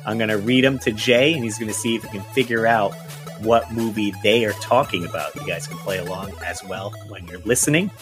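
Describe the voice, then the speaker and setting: A man's voice: lowish voice, a little fast, over music. A man chatting casually as a podcast host in an easygoing manner.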